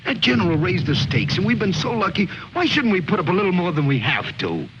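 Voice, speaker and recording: An actor playing an anxious, urgent soldier in a mid-century film drama. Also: male voice, high voice, sing-song, quick pace. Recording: muffled old film, long reverb, noisy.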